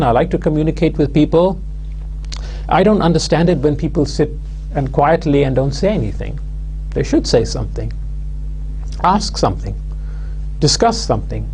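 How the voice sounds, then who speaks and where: A man, giving a recorded university lecture in a measured, hesitant way full of "uh" and "um" fillers. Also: a little high, dark tone, slight background noise. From London, England. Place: studio with plain backdrop.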